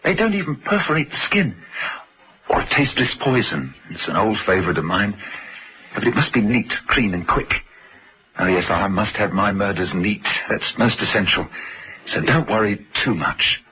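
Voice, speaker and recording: An actor playing a menacing, fastidious assassin in a vintage radio drama, halting and relishing his words. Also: fairly low pitch, lively intonation, sharp tone, poor audio. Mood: gloating menace. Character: menacing, squeamish-voiced male assassin who savours neat murders.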